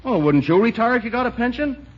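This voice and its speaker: A garrulous, griping barber in old radio comedy. His husky, high-set voice moves in lively swings through a dull vintage recording. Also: quick pace. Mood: griping, chatty. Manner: folksy, complaining, garrulous. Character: chatty, griping male small-town barber. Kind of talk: 1940s radio comedy acting.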